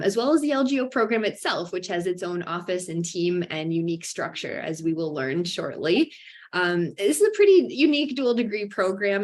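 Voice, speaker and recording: Upbeat woman hosting a webinar. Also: lowish voice. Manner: upbeat, many fillers, bright emphatic rises. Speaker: webinar host for a graduate program. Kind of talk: online information session presentation.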